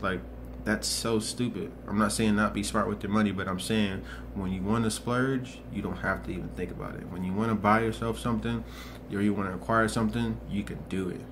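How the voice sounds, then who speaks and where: Low flat-voiced young man vlogging. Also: a little fast, few pauses, noisy background. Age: 20s. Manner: earnest, rambling, many restarts and repetitions. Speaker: motivational vlogger. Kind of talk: casual motivational monologue.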